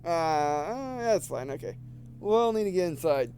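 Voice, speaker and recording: A man reading game text aloud and commenting casually as he plays, halting and stumbling over words, with some background noise. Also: fairly high pitch, expressive, a little mellow. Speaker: gameplay video host.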